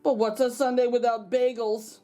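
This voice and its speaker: A woman's voice: on the high side, level tone, moderate pace, warm tone, soft background music, close to an everyday voice. A woman voicing a plain-spoken, slightly grumbly old grandfather in a children's storybook.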